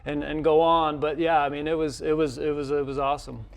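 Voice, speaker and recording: Higher-voiced man, halting sports coach. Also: level tone, vocal fry, smooth. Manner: halting, repeats words and restarts often. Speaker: college tennis coach. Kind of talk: casual sports interview.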